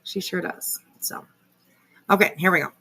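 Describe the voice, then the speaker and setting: A woman with a fairly low, heavily creaky voice hosts a casual livestream reaction. She rambles through her commentary in a sardonic, confrontational way. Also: moderate pace, bright, forceful tone. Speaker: livestream host reacting to another creator's video.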